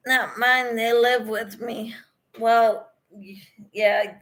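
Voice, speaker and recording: A middle-aged woman hosts a relaxed live chat, taking her time over every sentence and reading out viewer comments. Her voice sits a little high and runs fairly even, and she laughs easily between remarks. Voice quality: speaks in a monotone, as her husband says. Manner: slow, chatty, laughs often. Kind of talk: casual livestream chat.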